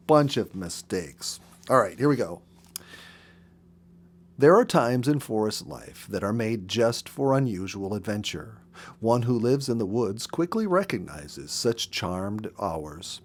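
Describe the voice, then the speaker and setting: A man reading a story aloud as a storyteller, with expressive, unhurried phrasing and dramatic pauses. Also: on the low side. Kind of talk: expressive narrated audiobook reading.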